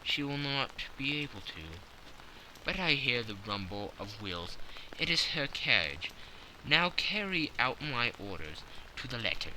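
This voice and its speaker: A man reading a brisk, confident detective in an audiobook, curt and commanding. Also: mid-range voice, very animated, bright, forceful tone, slight background noise, exaggerated voice, rough recording.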